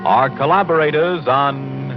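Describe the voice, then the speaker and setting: A man's voice: medium pitch, unhurried, close to an everyday voice. A man announcing in a formal 1940s radio style. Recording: muffled vintage radio, loud music.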